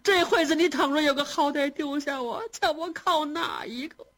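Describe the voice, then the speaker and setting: A woman's voice: high-pitched, moderate pace, fairly bright tone, pitched above the performer's own voice. A woman reading aloud and voicing a middle-aged mother who wails and laments over her injured son. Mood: lamenting. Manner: wailing, lamenting.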